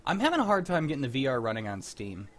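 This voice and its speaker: A young man hosting a livestream, chatting casually and telling stories in an animated, sweary way. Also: medium pitch, quick pace, crowd noise. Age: young adult. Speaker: host of a livestreamed script-reading show. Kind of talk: casual chat.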